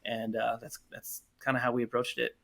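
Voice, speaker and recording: Guy guesting on a podcast, bright mid-pitched voice, chatting casually. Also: completely flat delivery, a little fast, vocal fry. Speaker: podcast guest, audio drama producer. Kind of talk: casual interview chat.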